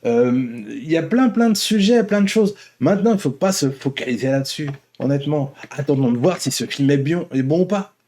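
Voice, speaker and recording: A man's voice: a little high, animated, fairly quick, few pauses, dark tone. A man hosting an online vlog, talking off the cuff in a casual, rambling way, often repeating and rephrasing his words. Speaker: host of an online opinion vlog. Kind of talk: casual unscripted opinion monologue.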